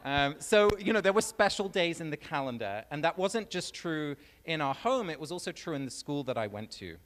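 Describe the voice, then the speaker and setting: A man with an English accent giving a personal talk on stage, relaxed and storytelling, with frequent ums. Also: a little high, moderate pace. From England. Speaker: guest speaker. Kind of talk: public talk. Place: large venue on stage. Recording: reverberant hall.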